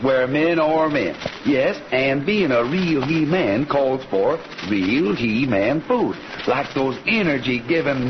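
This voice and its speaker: An actor playing a blustery, folksy cowboy hero with an American Western drawl in an old-time radio show. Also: male voice, mid-range voice, a little hiss or hum. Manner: folksy, hearty. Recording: muffled old radio recording with music.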